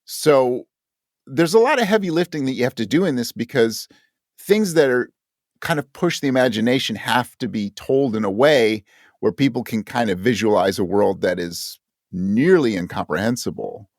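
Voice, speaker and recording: A man with an average-pitched voice talks in a very dry recording with no room sound. He is a conversational interview guest, thoughtful and inclined to search for the right word and correct himself as he goes. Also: normal speed, no room sound. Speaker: interview guest, audio drama writer.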